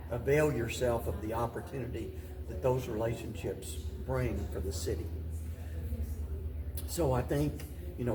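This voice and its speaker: An American man answering interview questions in a deliberate, halting way, often restating his phrases as he goes. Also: mid-range voice, noisy background. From Paducah, Kentucky, United States. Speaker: mayor and interview guest.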